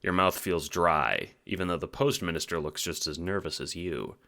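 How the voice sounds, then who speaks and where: A man reading second-person audio drama narration in an animated storytelling manner, with casual swearing. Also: low voice, moderate pace, vocal fry.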